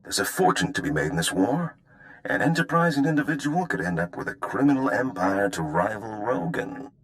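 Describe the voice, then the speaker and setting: An actor playing a sly, easygoing underworld operative giving briefings in a video game. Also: male voice, on the low side, very animated, a little fast. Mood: sly, encouraging.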